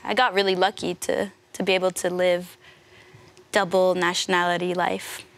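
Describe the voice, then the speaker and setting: A chatty young woman talks casually as a guest in an interview, with a fairly low voice for a woman. She grew up in the San Francisco Bay Area and laughs easily, filling her talk with "like". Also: flat delivery, normal speed, creaky. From United States (San Francisco Bay Area). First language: French. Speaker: guest, singer.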